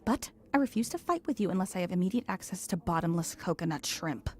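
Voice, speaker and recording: A woman's voice: lowish voice, expressive, fast talker, darkish tone, a little hiss or hum, natural voice. An actress playing a wry, exasperated young American career woman with a sardonic, candid manner in an audio drama. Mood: annoyed.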